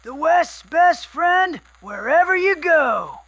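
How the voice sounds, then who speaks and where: A man playing an eccentric, excitable wasteland scavenger in his thirties with a theatrical, exclamatory delivery, in a radio drama. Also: very high voice, slow, heavily acted. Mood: enthusiastic. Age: 30s.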